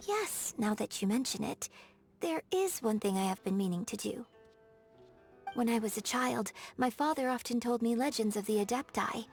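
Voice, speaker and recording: Young female opera performer for a video game: high, melodious voice, courteous, formal, elegant diction, music underneath. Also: lively intonation, normal speed, a little mellow. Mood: wistful. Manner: formal, polite, carefully enunciated. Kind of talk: scripted game character dialogue.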